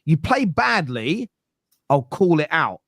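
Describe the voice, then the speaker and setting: A young man with a Cockney accent hosting a football fan podcast, teasing and animated, often talking over the others. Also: on the high side, slow, no room sound, clean studio sound. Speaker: podcast host. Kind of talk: heated football fan debate.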